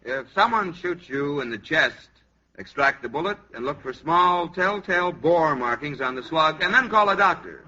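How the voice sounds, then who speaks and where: An actor playing a stubborn, earnest comic-strip devotee in a 1950s radio comedy, speaking emphatically. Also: male voice, on the high side, lively intonation, normal speed, sharp tone. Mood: instructive. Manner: emphatic, self-righteous. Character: stubborn, earnest male Dick Tracy devotee. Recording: noisy, dull 1950s radio recording.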